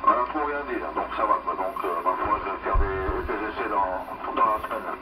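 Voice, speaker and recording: A man's voice: fairly low pitch, brisk, over music. A man chats casually over two-way radio, hesitating and repeating his phrases. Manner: hesitant, repetitive, tags phrases with filler words. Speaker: amateur radio operator. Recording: noisy, distant two-way radio transmission.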